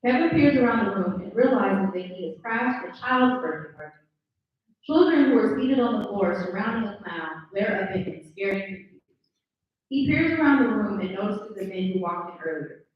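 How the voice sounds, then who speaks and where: A woman narrates a script, reading out stage directions at a live table read. Her voice is medium in pitch and sounds distant and slightly dull, with heavy room echo. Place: live in-person event room. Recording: echoey room, distant muffled mic.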